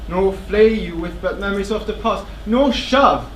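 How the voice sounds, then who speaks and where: Man playing stern royal kinsman, high-pitched, far-off. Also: moderate pace, natural voice. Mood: solemn, commanding. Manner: formal, declamatory, commanding. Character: stern, dutiful royal brother-in-law, restrained but pitying. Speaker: stage actor. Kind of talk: theatrical classical tragedy acting on stage. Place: indoor theatre stage. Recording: echoey theatre, distant microphone, faint noise.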